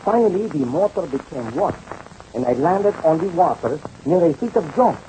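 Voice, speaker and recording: Animated aviator, acted by a man, noisy recording. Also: on the high side, normal speed, lightly acted. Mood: earnest, courteous. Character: courteous French aviator telling his tale of misadventure. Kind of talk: 1930s radio acting. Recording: noisy old radio transcription.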